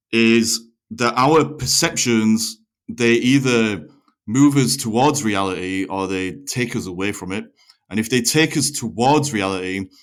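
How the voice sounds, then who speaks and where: A man hosting a conversational interview podcast, explaining his ideas at length in a reflective, self-correcting way. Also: medium pitch. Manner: long-winded, self-correcting. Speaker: podcast host.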